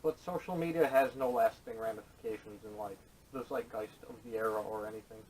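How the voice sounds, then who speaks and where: A man's voice: on the low side, fairly quick, darkish tone. A man chatting casually on a podcast panel with blunt, contrarian opinions, recorded through a camera microphone. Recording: distant camera audio, noisy.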